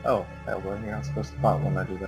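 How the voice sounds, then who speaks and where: A man with a slightly low voice co-commentates on a game. His talk is casual banter between friends. Game music is clearly audible behind him. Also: flat delivery, fast.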